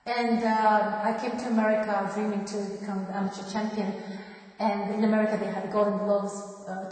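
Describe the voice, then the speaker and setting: A woman in her thirties from Japan with a Japanese accent, telling her life story haltingly as she searches for words in English, her second language. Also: mid-range voice, darkish tone. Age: 30s. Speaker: former professional boxer giving a testimony. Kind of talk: personal life-story talk. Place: large reverberant room. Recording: echoey reverberant hall, distant mic.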